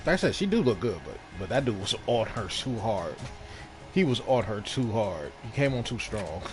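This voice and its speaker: A man's voice: lowish voice, sing-song, normal speed, music in the background. A man streaming a video game with casual, rambling, repetitive commentary. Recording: noisy stream, game audio behind. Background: video game audio.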